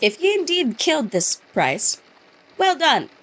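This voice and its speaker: A woman's voice: sits high, sing-song, measured pace, fairly bright tone, voice pushed up, exaggerated voice. A woman reading game dialogue as a curt, dismissive male police officer, using a put-on Scottish accent. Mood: scornful. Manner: curt, scornful, brushes off the witness.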